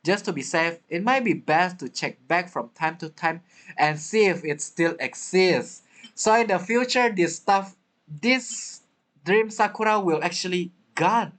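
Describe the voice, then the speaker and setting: Lowish, lively-pitched woman streaming a game. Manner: halting, joking, talks back to the characters. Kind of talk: casual playthrough commentary. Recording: game music behind.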